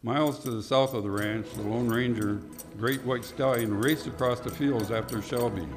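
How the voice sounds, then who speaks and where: A man's voice: mid-range voice, fairly even intonation, normal speed, over music. A man narrating a scripted Western adventure in the style of an old-time radio drama. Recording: clearly noisy background.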